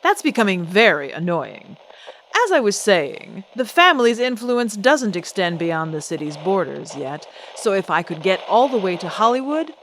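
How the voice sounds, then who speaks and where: A woman's voice: mid-range voice, very animated, moderate pace, fairly bright tone, slight background noise, low-quality recording. A woman playing a poised, defiant celebrity singer in an old-time radio drama, speaking with composed, pointed, genteel diction. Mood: firm, defiant.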